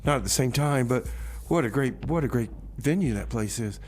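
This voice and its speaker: Folksy male radio DJ, mid-pitched. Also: expressive, slight background noise. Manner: folksy, exclaiming, halting. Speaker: radio host. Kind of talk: casual rambling music-show DJ talk.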